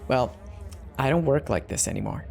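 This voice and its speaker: A man's voice: medium pitch, expressive, normal speed, vocal fry, a little mellow. A man narrating a horror story as a dramatic scripted reading. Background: background music.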